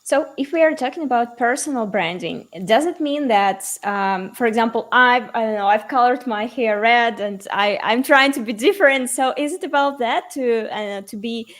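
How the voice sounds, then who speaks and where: A woman's voice: on the high side, normal speed, hardly any pauses, fairly bright tone. A woman hosting an online interview, asking conversational follow-up questions over a video call. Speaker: host.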